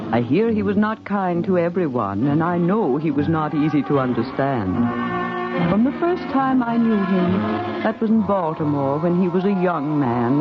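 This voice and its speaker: An actress playing a fond, worried, motherly aunt in a 1950s radio drama, gently scolding and deliberate. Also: lowish voice. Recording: muffled old radio recording with music.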